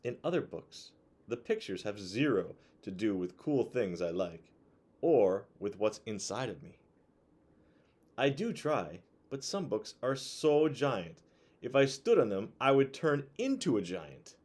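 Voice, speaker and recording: A man reading a storybook aloud to children in an expressive way, pausing to put questions to his young listeners. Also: medium pitch. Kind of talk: read-aloud storytelling to children, with conversational asides.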